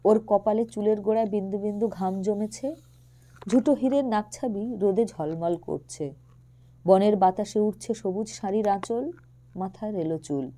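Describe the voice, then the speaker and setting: Mellow-voiced woman narrating a story. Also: mid-range voice, normal speed. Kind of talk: expressive literary audio story narration.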